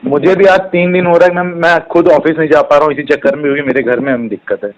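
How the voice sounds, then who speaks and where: A man talks very quickly on a phone call, his voice a little on the high side. He is a salesman placating a customer, polite and defensive, often cutting in. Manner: polite, defensive, repeatedly interjecting. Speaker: car sales executive.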